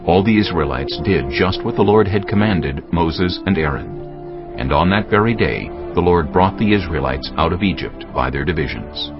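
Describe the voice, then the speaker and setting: Male narrator, very deep pitch, measured, solemn, scripted scripture reading, muffled audio, music underneath. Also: expressive.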